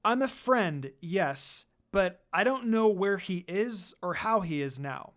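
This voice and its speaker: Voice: medium pitch, animated, slow, a bit bright. A reader voicing a guarded, blunt young female ninja who teases and commands in a terse, dry manner. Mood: stern.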